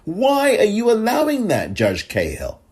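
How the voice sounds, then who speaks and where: A middle-aged man delivers a solo podcast commentary in a husky, slightly strained voice that cracks from excitement. He talks slowly and deliberately, swinging widely in pitch and jumping high whenever he turns sarcastic or indignant. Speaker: podcast host.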